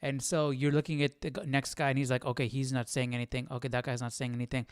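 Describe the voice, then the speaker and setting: A man's voice: mid-range voice, level tone, quick pace, clean tone, no room sound, clean studio sound. A man hosting a Bible study livestream, chatting informally with slang and reading out study notes.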